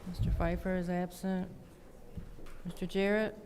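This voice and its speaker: Woman clerk reading the roll call, monotone, heard across a reverberant hall with faint background noise. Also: lowish voice, unhurried. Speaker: city clerk. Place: city council chambers.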